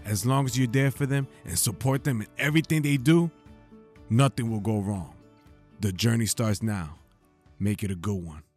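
A man's voice: on the low side, darkish tone, soft background music, natural voice. A man playing a proud older grandfather in an audio drama, fatherly and earnest as he speaks. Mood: earnest, encouraging. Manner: earnest, fatherly, measured advice. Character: proud older grandfather, warm-hearted, advice-giving father.

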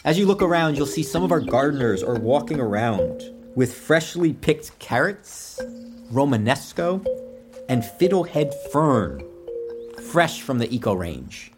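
An actor playing an effusive tour guide in an audio drama, grandly earnest and welcoming. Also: male voice, mid-range voice, sing-song, a little slow, over music. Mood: effusive welcome. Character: effusive male tour guide of a plant utopia.

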